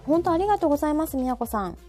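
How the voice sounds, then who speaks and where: A woman's voice: high-pitched, big pitch swings, rapid-fire, dark tone. A woman hosting a live talk in casual chat, attentive and often chiming in with short listening sounds. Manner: attentive, frequent backchannels. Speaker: host of a live audio talk show. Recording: background music under the voice.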